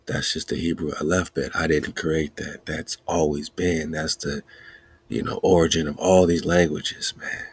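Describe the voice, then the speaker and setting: Gravelly low-voiced man preaching. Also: level tone, moderate pace. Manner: preachy, repetitive, chanting lists; laughs between points. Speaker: podcast host. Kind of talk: spiritual teaching talk.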